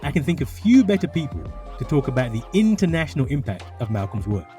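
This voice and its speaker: Lively man narrating over music. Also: medium pitch, fast. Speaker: documentary narrator. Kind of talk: scripted narration.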